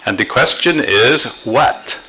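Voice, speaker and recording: A man with an American accent, giving a lecture at a conference in short phrases that leave pauses for his interpreter. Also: mid-range voice, lively intonation, pushed and bright, a little hiss or hum, rough recording. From born in Germany, raised in the United States. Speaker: speaker at a creation conference. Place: conference hall.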